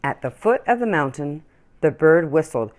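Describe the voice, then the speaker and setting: A woman reading a children's story aloud in an expressive, deliberate storytelling manner. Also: sits low. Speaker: children's story-time reader.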